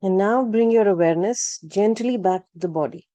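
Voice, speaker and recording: A woman leading a guided relaxation practice, speaking calmly and gently, with unhurried instructions and long pauses. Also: medium pitch, no echo. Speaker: yoga nidra guide. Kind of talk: guided meditation narration.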